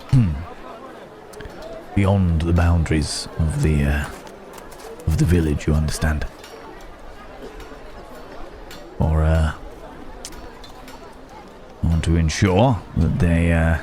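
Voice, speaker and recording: A deep-voiced, courteous werewolf from an old-time village, acted by a man in an ASMR roleplay. He speaks slowly in formal, old-fashioned phrases, musing and hesitating, with a busy crowd behind him. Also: darkish tone. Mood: musing, cautious. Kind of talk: ASMR roleplay acting, one-sided dialogue. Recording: busy outdoor market ambience behind voice. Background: market crowd ambience.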